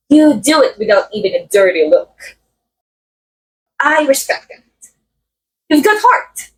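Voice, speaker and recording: Woman playing a haughty, high-voiced, animated film actress. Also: poor audio. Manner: theatrical, sardonic, drawling some words for emphasis. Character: haughty, catty film actress, condescending yet playfully menacing. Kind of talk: ASMR roleplay monologue, voice acting.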